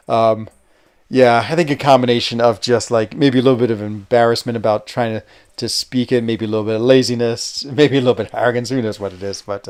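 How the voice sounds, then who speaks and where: Smooth-voiced American man streaming casually. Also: mid-range voice. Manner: rambling, chatty, hesitant with fillers. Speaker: gaming livestream host. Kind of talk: casual live-stream chat while playing.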